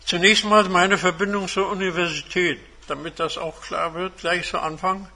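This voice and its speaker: Bright, high-pitched man of seventy-five. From Germany. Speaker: guest speaker, retired engineer and academic. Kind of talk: autobiographical talk to a university seminar. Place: seminar room.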